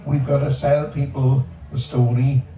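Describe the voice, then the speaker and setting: A man's voice: medium pitch, flat delivery, a little slow, dull audio. Older man speaking emphatically, far off. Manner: emphatic, repeats words for stress. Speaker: panel speaker. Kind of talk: panel discussion. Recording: echoey outdoor space from far off, background noise.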